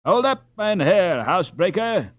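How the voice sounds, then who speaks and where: An eager young lad, put on by a male narrator, exclaims in a high, excited voice. He is cheeky and mocking, in lively storybook style, with a close dry sound. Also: measured pace, no room sound, lo-fi sound. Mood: teasing, bossy.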